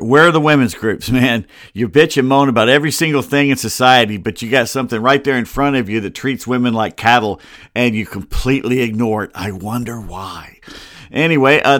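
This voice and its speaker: A man's voice: mid-range voice, a little fast, fairly bright tone, dry recording, studio quality. An American man hosting a solo sports show in a casual, grumbling and wry way, with rambling asides. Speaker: sports podcast host. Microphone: close computer microphone.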